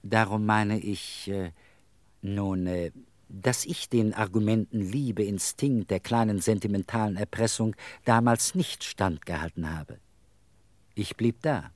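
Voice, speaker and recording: A man's voice: lowish voice, unhurried, dry recording, lightly acted. An actor in a radio play voicing an eccentric middle-aged beggar with bourgeois airs, telling his story in a wry, theatrical, rambling way. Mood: wistful confiding.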